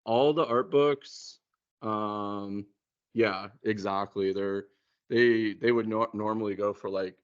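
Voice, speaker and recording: A man in casual conversation, talking in a hesitant, halting way and often repeating words. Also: fairly low pitch, fairly even intonation, clear, resonant tone. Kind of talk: casual chat. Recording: muffled, dull lo-fi sound.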